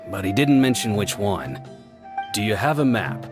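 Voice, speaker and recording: An actor playing a helpful, dutiful village guard in a video game, talking in a deliberate, thoughtful and courteous way. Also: male voice, fairly low pitch, big pitch swings, music in the background.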